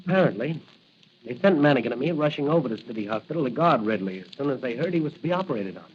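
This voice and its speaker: Actor as fast-talking rookie cop hero, old radio. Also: male voice, mid-range voice, animated, close to an everyday voice. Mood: earnest, urgent. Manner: brisk, confident, explanatory. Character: earnest young rookie policeman who is secretly a hero. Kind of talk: 1940s radio acting. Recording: muffled, noisy old radio recording.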